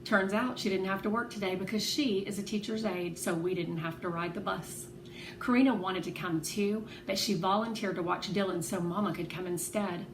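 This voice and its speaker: A woman reading a children's novel aloud as an expressive, animated narrator. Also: medium pitch, normal speed. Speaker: read-aloud host. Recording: echoey room with clear background noise.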